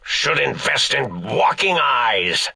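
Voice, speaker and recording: An actor playing a manic, cackling old mad scientist villain in a video game, theatrical and grandiose, with a raspy, gravelly voice and drawn-out delivery. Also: male voice, mid-range voice, very animated, pushed and bright, full character voice. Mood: indignant, manic.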